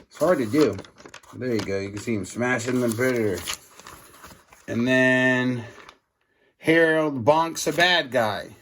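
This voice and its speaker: A man reading a story aloud to children in an expressive, dramatic way, adding casual asides. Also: medium pitch, unhurried, long pauses, slight background noise. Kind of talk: animated read-aloud narration with asides.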